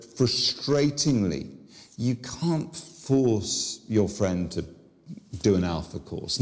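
Middle-aged guy, slow careful explaining, lowish voice, video played back in a big room. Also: a little mellow. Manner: measured, explanatory, gesturing while he talks. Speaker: guest in a filmed discussion. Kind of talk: explaining in a filmed conversation. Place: seated in a studio set, played back in a church. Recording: video clip with long hall reverb.

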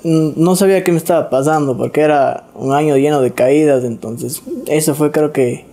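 Young guy, soft hesitant talk, darkish slightly high voice. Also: moderate pace. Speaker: guest rider. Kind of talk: casual chat.

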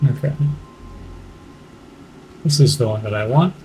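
A man's voice: medium pitch, expressive, a little slow, gravelly, dark tone, a little hiss or hum, low-quality recording. A man giving casual gameplay commentary, muttering in short, clipped phrases. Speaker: gamer playing through a campaign.

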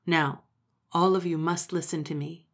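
A woman's voice: lowish voice, steady tone, measured pace. A woman voicing a young male hero who leads an animal army, speaking in a firm, commanding way. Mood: stern.

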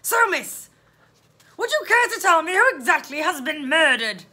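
Gruff policeman played by a woman, growly, booming, sceptical, drawn-out questions, very animated, children's storybook. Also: heavily acted. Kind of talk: children's storybook read-aloud.

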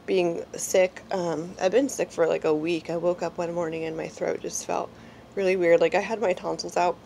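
A woman's voice: on the low side, flat delivery, normal speed, gravelly, a little mellow, slight background noise. A woman in her forties with a congested, slightly nasal voice from a cold, chatting casually and rambling through a beauty product review at home. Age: 40s. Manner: chatty, rambling, lots of fillers. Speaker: beauty vlogger. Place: small indoor room at home.

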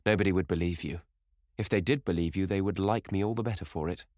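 A man voicing a proud, insolent young man who speaks in a hard, clear, taunting way. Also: low voice, fast talker, darkish tone, no room sound, studio quality, close to an everyday voice.

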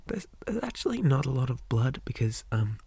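A man playing a shy, polite zombie boy in an ASMR roleplay, speaking softly, often in whispers, hesitant and flustered with old-fashioned manners. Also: medium pitch, big pitch swings, a little fast, dry recording, heavily acted. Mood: nerdy, eager. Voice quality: soft, breathy, often whispered.

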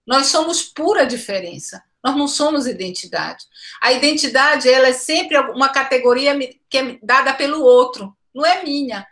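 A high, bright woman's voice from Pará, Brazil, a professor in her forties talking from home over a laptop webcam on a video call. She is reflective and explanatory, doubling words as she works through an idea. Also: moderate pace. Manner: reflective, explanatory, repeats words while searching. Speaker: education professor, guest. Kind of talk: academic interview answers. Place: home room indoors.

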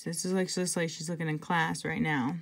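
A woman reading a picture book aloud to children, conversationally, pausing for casual asides and check-in questions. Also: low-pitched, flat delivery, vocal fry, noisy background. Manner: conversational, pointing things out and asking check-in questions. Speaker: intervention specialist reading to children.

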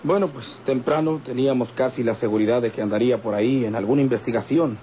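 An actor playing a courteous, worried police commander in a vintage radio drama, with a Mexican accent and a formal, measured delivery. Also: male voice, medium pitch, natural voice. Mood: grave concern. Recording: tinny, hissy old radio recording.

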